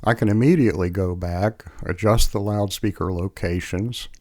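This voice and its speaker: A man presenting a software demo as scripted tutorial narration, in a measured, explanatory way on a close mic. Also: lowish voice, fairly even intonation, no echo.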